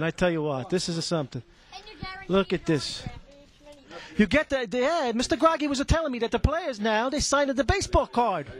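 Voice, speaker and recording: A man playing an excitable, chatty Italian-American stadium peanut vendor who rambles and repeats himself in broken English. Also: extremely high pitch. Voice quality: broken English with stray articles. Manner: rambling, repetitive catchphrases. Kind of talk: improvised comic character patter.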